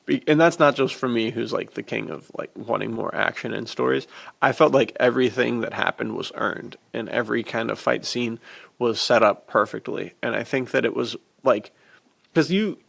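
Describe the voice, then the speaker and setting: A man's voice: medium pitch, moderate pace, vocal fry, a bit bright. A man co-hosting a podcast, talking casually in an informal, emphatic way. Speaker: podcast co-host. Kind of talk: casual chat.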